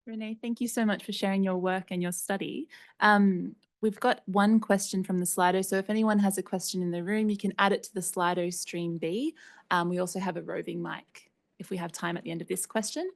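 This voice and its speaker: A woman's voice: medium pitch, fairly even intonation, a little fast, vocal fry. A woman moderating a conference session, thanking the speaker and reading out an audience question. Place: conference hall.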